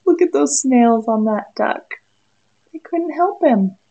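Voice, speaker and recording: A woman's voice: high voice, expressive, slow pace, mellow, highs cut off. A woman in her thirties, a preschool teacher, reading a picture book aloud expressively and in a sing-song way to young children. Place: small room indoors.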